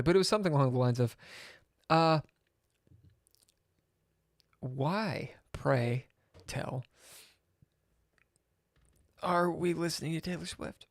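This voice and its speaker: A man on a live stream, telling a rambling story in a sardonic, swearing manner, with loud outbursts and whispered asides. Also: mid-range voice, gravelly, no room sound, studio quality. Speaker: chess streamer.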